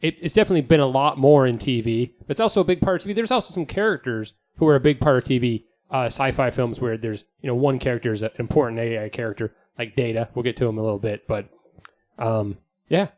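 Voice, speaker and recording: A man's voice: medium pitch, fast talker, a little mellow. A man hosting a podcast in casual, joking group chat, rambling and correcting himself as he goes. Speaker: podcast host.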